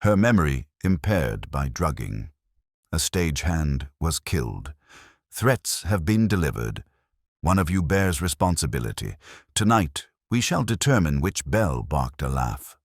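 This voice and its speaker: Guy reading as a pompous brainy detective, really deep, husky, commanding, audiobook style. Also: big pitch swings, no echo, clean studio sound, exaggerated voice. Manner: pompous, measured, formal wording. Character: imperious, cerebral male detective, formal and commanding.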